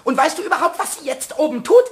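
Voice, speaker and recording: A man playing a mischievous, childlike little kobold in a children's radio play, squeaky and excitable, with theatrical wailing and repeated words. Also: fast. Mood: indignant. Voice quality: squeaky, shrill.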